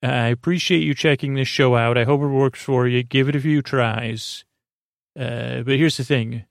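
Creaky-voiced man rambling softly through a monologue. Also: medium pitch, fairly even intonation, no echo, studio quality. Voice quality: gravelly, creaky voice. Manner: meandering, halting, digressive. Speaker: host of a sleep podcast.

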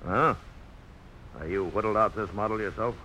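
An actor playing a proud, visionary frontier blacksmith with a folksy, dramatic storytelling delivery in a 1950s radio drama. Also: male voice, on the low side, moderate pace, pushed and bright. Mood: proud, considering. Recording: noisy old radio broadcast.

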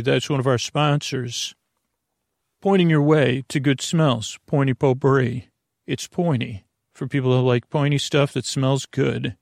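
A man hosting a podcast with a meandering, halting, digressive bedtime monologue. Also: mid-range voice, creaky, a little mellow, no room sound, clean studio sound. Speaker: podcast host.

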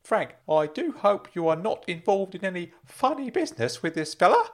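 A man voicing a kindly, fussy older uncle in an audiobook story, earnest and excitable. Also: mid-range voice, moderate pace, pitched above the performer's own voice, close to an everyday voice. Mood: worried. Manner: excitable, earnest, swooping delivery. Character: kindly older uncle, fussy, caring, fond of dad jokes.

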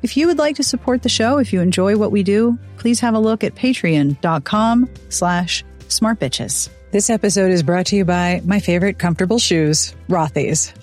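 A woman's voice: medium pitch, darkish tone. Woman, podcast host, chatty, upbeat, personal ad reads. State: recovering from illness. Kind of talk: scripted podcast intro and host-read ads.

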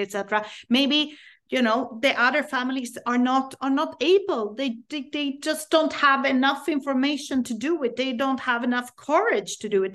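A woman's voice: a little high, fairly bright tone. A woman from Sweden, a native Swedish speaker, talking earnestly as an interview guest and often repeating words while searching for them. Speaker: guest, parent and sex education advocate. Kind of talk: podcast interview.

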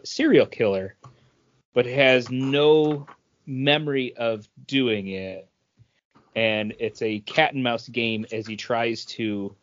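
A man's voice: medium pitch, lo-fi sound. A man co-hosting a podcast in a casual chat about comic books. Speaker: podcast co-host.

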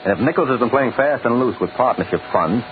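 A man's voice: medium pitch, animated, quick pace, lightly acted. A man playing a brash, wisecracking American private detective in 1940s radio drama style, clipped, sardonic and pressing. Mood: brisk, deducing. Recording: tinny old radio recording, faint hiss.

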